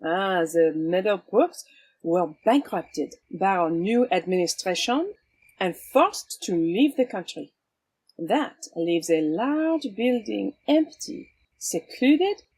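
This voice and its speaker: A woman's voice: mid-range voice, sing-song, takes it slowly, a little mellow, husky, heavily acted, poor audio. A woman playing a cool, wry swashbuckling vigilante with a sly, teasing, theatrical manner in an audio drama. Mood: musing.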